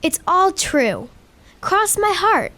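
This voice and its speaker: A woman playing a gentle, pleading young girl given to make-believe in an audio drama. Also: very high-pitched, big pitch swings, slow pace, full character voice. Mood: earnest, dreamy.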